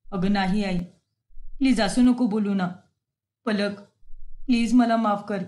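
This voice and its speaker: A woman's voice: mid-range voice, a little slow, a little mellow, voice pushed down, close to an everyday voice. A woman voicing a harried young man caught between two women, pleading and exasperated.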